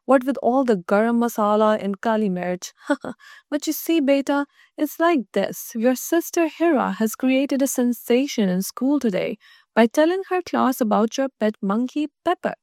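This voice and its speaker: A woman's voice: fairly high pitch, lively intonation, normal speed, a little mellow, dry recording, clean studio sound. Woman voicing a fussy male principal.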